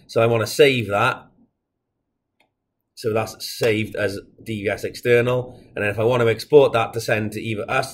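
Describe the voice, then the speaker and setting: A man in his thirties giving a casual software tutorial, explaining each step in a plain, informal way as a product demonstrator. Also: on the low side, slight background noise. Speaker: product demonstrator for a security equipment supplier.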